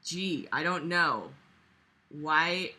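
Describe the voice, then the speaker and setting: Stern male principal in a story reading played by a woman, bright edge, cold and accusing. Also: low voice, extremely slow, voice pushed down, exaggerated voice. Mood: cold, threatening.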